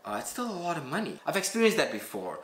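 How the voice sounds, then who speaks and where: A man in his thirties from Malaysia with a Malaysian accent, chatting casually and warmly while reacting to a video in his living room. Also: on the high side, quick pace, reverberant. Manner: relaxed, chatty, easily moved. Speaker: reaction channel co-host.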